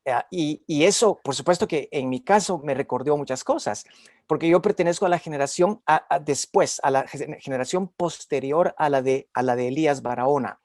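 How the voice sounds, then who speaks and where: A man's voice: on the high side, fairly quick, a little mellow, no room sound, studio quality. An older man interviewing conversationally, giving long emphatic commentary and repeating phrases. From Guatemala. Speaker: interviewer, academic.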